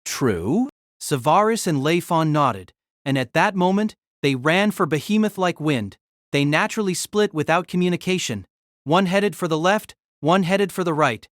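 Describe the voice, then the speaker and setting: Expressive, higher-voiced male narrator. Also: normal speed, dry recording, clean studio sound. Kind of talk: dramatic scripted narration of a fantasy novel.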